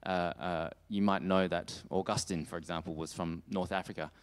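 Low, clear-voiced man preaching. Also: steady tone, normal speed. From Australia. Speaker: preacher giving a talk on world missions. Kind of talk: sermon with slides, closing in prayer.

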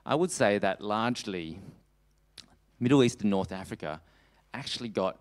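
Low, clear-voiced man preaching. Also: expressive, moderate pace. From Australia. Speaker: preacher giving a talk on world missions. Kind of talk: sermon with slides, closing in prayer.

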